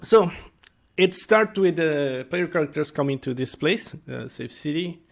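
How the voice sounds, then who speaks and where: A man giving an informal explainer as a game master, speaking haltingly and searching for his words. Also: on the high side, lively intonation, gravelly. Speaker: tabletop game master and video creator. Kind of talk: informal explainer talk to viewers.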